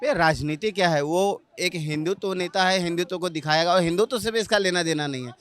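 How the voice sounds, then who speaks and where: A man's voice: high voice, a little fast, a bit bright, clean tone. A man from Banaras in Uttar Pradesh giving emphatic, assertive opinions in a street interview. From Banaras, Uttar Pradesh, India. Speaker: member of the public being interviewed.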